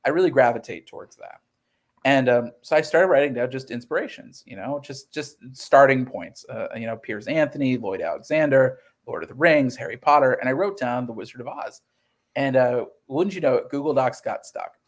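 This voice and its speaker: A man's voice: mid-range voice, animated, normal speed. A man in his thirties talking as an interview guest, explaining his creative work earnestly and acting out quotes as he goes. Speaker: interview guest, comic book writer. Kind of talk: conversational interview storytelling.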